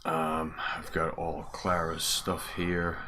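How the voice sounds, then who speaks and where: A man's voice: rumbling low, monotone, slow, pushed and bright. A man chatting casually on a game livestream, informal and thinking aloud as he works at his computer. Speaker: game streamer and modder. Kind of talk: casual livestream chat while working at a computer.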